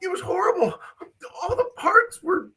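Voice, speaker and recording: A performer voicing an excitable, scared young boy adventurer with halting, dramatic delivery in an amateur script read. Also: very high-pitched, full character voice. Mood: frightened. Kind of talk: amateur livestream table read of a film script. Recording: distant from the mic.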